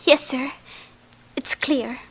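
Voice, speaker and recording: A woman's voice: high-pitched, steady tone, takes it slowly, fairly bright tone, husky, exaggerated voice, low-quality recording. An actress playing an earnest, insistent young girl in an old radio drama, pleading with childlike urgency. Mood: tense recollection. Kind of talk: 1940s-50s radio drama acting. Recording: vintage radio recording with music behind.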